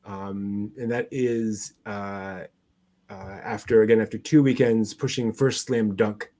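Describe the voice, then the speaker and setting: A man's voice: fairly low pitch, steady tone, warm tone. Man casually presenting anime news. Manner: halting delivery, searching through notes aloud. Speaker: co-host of an anime news show.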